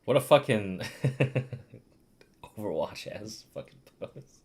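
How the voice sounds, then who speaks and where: A man giving casual, profane and excitable commentary while he plays a video game. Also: fairly low pitch, slow pace, a little hiss or hum. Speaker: game streamer.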